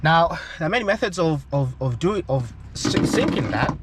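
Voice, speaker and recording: A man's voice: fairly high pitch, sing-song, moderate pace, background noise. A man with a South African accent explains gear in an informal tutorial, talking in a hesitant, explanatory way and often checking in as he goes. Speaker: aviation channel host.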